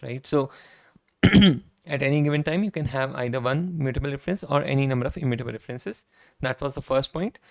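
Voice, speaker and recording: Hoarse guy on a coding stream, gravelly low-key voice, keeps saying right?, keyboard clicking behind. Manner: conversational, frequent "right?" tag questions, throat clearing. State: hoarse, voice almost gone. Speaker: streamer and software engineer. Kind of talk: casual live-stream coding talk. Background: keyboard typing and clicks.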